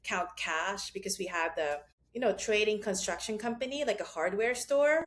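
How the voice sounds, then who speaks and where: A woman's voice: moderate pace, slight background noise. A middle-aged woman from the Philippines, guest on an interview podcast, speaking casually and animatedly and laughing often. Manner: animated, laughs often, rises in pitch when telling stories. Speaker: guest, founder of a consulting firm.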